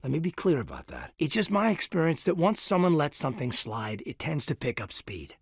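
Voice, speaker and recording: An actor playing an earnest, reserved military officer in his thirties in a sci-fi video game, speaking in a measured, hesitant, husky voice. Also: fairly high pitch, wildly swooping pitch, no room sound, clean studio sound, full character voice. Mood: earnest concern. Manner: hesitant, measured, sincere, deferential. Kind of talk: video game character dialogue.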